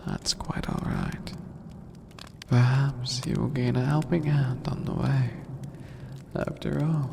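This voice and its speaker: Man voicing a slow, gravelly skeleton demon overlord. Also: mid-range voice, noisy background, full character voice. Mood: teasing, smug. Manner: slow, theatrical, formal, drawn-out phrasing. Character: skeleton demon overlord, imperious, bored, menacing yet playful. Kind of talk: ASMR fantasy roleplay.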